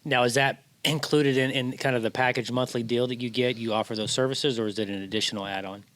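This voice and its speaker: A man's voice: mid-range voice, fairly even intonation, quick pace, a bit bright. A man of about fifty hosting a casual, conversational interview. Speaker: interview host.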